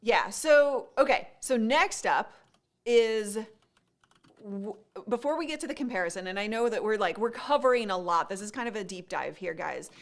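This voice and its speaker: A woman's voice: medium pitch, expressive, moderate pace. A woman with a mid-range voice, co-hosting a casual tech talk, asking questions in a loose conversational way. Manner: conversational, asks questions, many fillers. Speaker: streaming show co-host.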